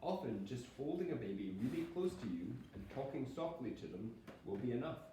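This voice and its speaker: Male teacher, mellow, patient and encouraging, classroom read-aloud, strong room echo, faint noise. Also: medium pitch, sing-song, a little fast. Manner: patient, encouraging, simple explanations. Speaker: primary school teacher. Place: primary school classroom. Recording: echoey classroom, distant mic, faint noise.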